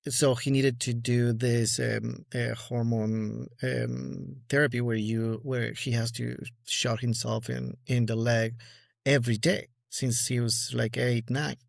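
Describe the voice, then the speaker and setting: Man with an Argentine accent, gravelly voice, dry close sound, stop-start phrasing, forties. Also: medium pitch, level tone, no echo. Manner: halting, many fillers and restarts. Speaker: guest collector. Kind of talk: casual interview conversation.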